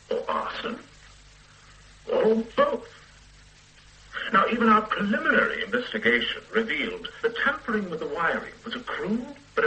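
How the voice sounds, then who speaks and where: A pompous forensic scientist. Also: male voice, high voice, sharp tone, heavily acted, rough recording. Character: pompous middle-aged male forensic scientist. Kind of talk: 1970s radio drama acting.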